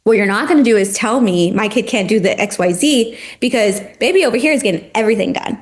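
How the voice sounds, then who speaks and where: A woman's voice: medium pitch, fast. A woman who is a former teacher, speaking passionately and with animation as a podcast guest, using "like" often. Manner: passionate, animated, heavy use of "like". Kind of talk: casual podcast conversation.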